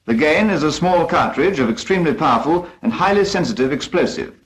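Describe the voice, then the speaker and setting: A man's voice: fairly high pitch, expressive, fairly quick. A British man narrating a 1940s instructional film from a script in a formal, precise, instructive manner.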